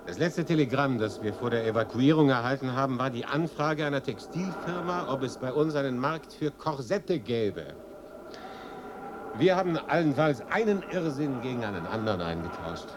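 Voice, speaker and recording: A man acting in a radio drama as a calm, dryly ironic English consul, measured and polite in his delivery. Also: medium pitch, lightly acted. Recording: echoey room, faint noise.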